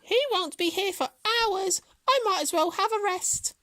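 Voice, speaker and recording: A woman's voice: very high voice, unhurried, sharp tone, dry recording, studio quality, pitched above the performer's own voice, full character voice. A woman voicing a boastful, scornful hare in a children's story, full of teasing exclamations. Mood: smug. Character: boastful, mocking, hurried young hare.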